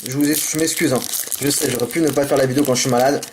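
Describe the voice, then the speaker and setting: Man, slightly sick sore throat, chatty, apologetic, excitable, casual card-pack commentary. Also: mid-range voice, rapid-fire, background noise. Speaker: YouTuber opening card packs. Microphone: camera mic.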